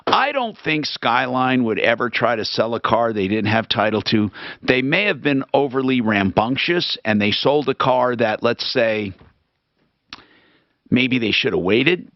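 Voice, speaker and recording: A man hosting a talk radio show, helping callers and reading ads in a brisk, salesman-like way. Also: medium pitch, expressive, no room sound, dull audio. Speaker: radio host. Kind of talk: talk radio with live reads.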